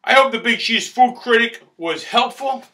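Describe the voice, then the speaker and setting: A middle-aged man talks straight to the camera with loud, boisterous pitchman energy, circling back on the same phrases. His voice sits high for a man and cuts hard and sharp. He sounds recorded in a small indoor space on a camera mic at desk distance. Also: moderate pace. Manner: loud, boisterous, salesman-like, repeats himself. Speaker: food critic host. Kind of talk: restaurant review to camera. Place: small indoor studio in front of a banner backdrop.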